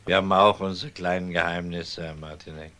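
An unhurried male police detective in a crime radio drama. His voice sits fairly low. He is calm and polite, with a dry, ironic edge to what he says. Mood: wry.

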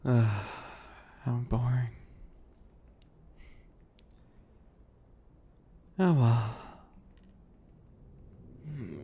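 A young man playing a sadistic, obsessive bunny boy in a whispery ASMR roleplay, soft and breathy, taunting with false sweetness. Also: mid-range voice. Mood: bored, disdainful.

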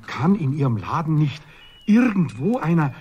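A man's voice: on the high side, sing-song, normal speed, mellow, husky, highs cut off, heavily acted. An actor playing a sceptical, practical man in a children's radio play, matter-of-fact and dismissive of fears.

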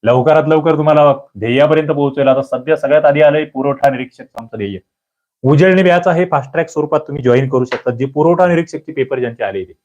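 A man teaching an exam prep lecture, quizzing listeners with lively rhetorical questions. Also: fairly high pitch, fast. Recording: dull lo-fi audio.

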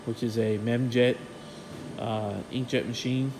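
A man casually walking through his print shop and explaining its equipment in a relaxed, technical way with lots of fillers, recorded on a phone he carries. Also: mid-range voice, measured pace, noisy background. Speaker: print shop owner giving a tour. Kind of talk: casual walkthrough tour. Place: print shop offices and warehouse, indoors. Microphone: handheld phone camera, filming while walking.

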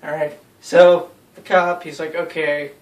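A young man casually telling friends an anecdote in an animated way. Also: on the high side, measured pace. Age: young adult. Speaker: storyteller. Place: small bedroom, indoors. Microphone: phone camera a few feet away. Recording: roomy, distant phone mic with noise.

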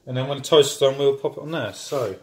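A man's voice: mid-range voice, fast, a little hiss or hum. A young man, chatting casually and joking while he cooks in his home kitchen, filming on a handheld phone. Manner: chatty, jokey, rambling asides. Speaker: home cooking vlogger. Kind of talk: casual cooking vlog. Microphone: handheld phone camera.

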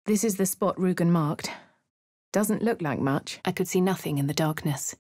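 An actress voicing a posh young woman adventurer in a video game, with a husky, refined delivery. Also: fairly low pitch, moderate pace, darkish tone, no room sound, lightly acted. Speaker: player character. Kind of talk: video game narration and dialogue. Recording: dry studio booth.

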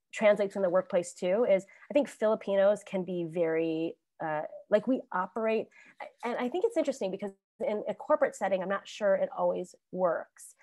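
A woman on a video call panel telling stories about her acting career in a chatty, animated way, laughing often and restarting sentences. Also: mid-range voice, no room sound. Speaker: alumni panelist, actor.

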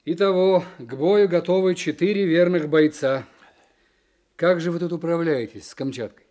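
An actor playing a gruff, wry district chief in a radio play, questioning briskly with dry authority. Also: male voice, on the high side, a little mellow, natural voice.

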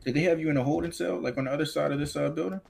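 Fast-talking, creaky-voiced man questioning casually. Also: on the high side, a little mellow. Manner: informal, polite, procedural. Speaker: player acting as police officer. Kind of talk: casual improvised police questioning in game roleplay.

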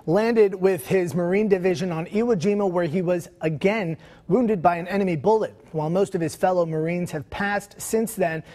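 A man's voice: high-pitched, normal speed, dark tone. A young man reading scripted news narration as a television anchor. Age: young adult. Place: TV news studio.

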